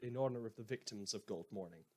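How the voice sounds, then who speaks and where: A man's voice: lowish voice, fast, lightly acted. A man playing a sardonic, theatrical radio host. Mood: wry, smug. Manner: sarcastic, rambling, mock-grandiose, hesitant repetitions. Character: sardonic, theatrical male radio host turned self-appointed vigilante lawman. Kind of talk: scripted fictional radio monologue.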